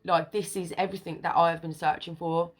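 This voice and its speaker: Low-voiced woman reading an old article. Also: a little fast. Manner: stumbles over old words and place names while reading; excited, emphatic commentary with rising pitch. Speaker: video creator. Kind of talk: reading an old article aloud, then commenting.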